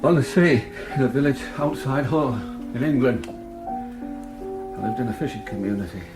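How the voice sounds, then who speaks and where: An actor playing an earnest, lonely, restless backwoods settler, speaking in a halting, reflective way in an audio drama. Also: male voice, mid-range voice, reverberant, music in the background, close to an everyday voice, low-quality recording. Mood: pleading, earnest.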